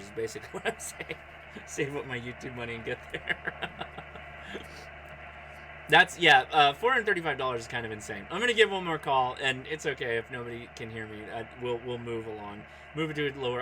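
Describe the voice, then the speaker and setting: Over clear background noise, a man with a mid-pitched voice talks casually as a radio hobbyist on a livestream. He thinks out loud and sounds a bit distracted, as if juggling several things while he speaks. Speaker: amateur radio streamer.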